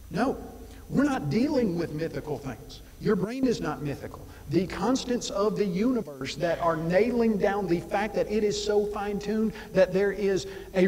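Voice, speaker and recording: A man's voice: high-pitched, darkish tone. A middle-aged man giving an emphatic, argumentative debate rebuttal at a podium mic in a large auditorium. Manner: emphatic, argumentative, gestures while speaking. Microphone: podium mic on a stand. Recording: long hall reverb.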